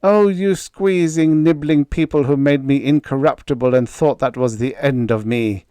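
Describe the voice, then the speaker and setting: A man's voice: fairly high pitch, moderate pace, dark tone, smooth, dry recording, pitched above the performer's own voice, close to an everyday voice. A man voicing an incorruptible agent in an audiobook story, with an intense, dramatic delivery. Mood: awed, ecstatic. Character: cold incorruptible agent man, newly awakened to feeling.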